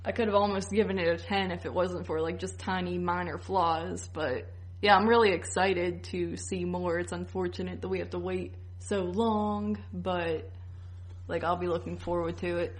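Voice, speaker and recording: A woman's voice: fairly low pitch, steady tone, normal speed. A woman co-hosting a podcast, chatting casually. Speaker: podcast co-host.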